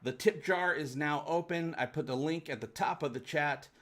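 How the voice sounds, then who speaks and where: A man's voice: fairly high pitch, normal speed, fairly bright tone. A man hosting a fan livestream, chatting casually and enthusiastically with his viewers.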